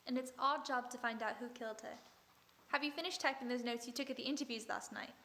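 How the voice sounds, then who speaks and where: A woman playing a sharp-witted female detective in an audio drama, questioning people with dry, ironic politeness. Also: a little high, fast. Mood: probing. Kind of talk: vintage-style radio drama acting.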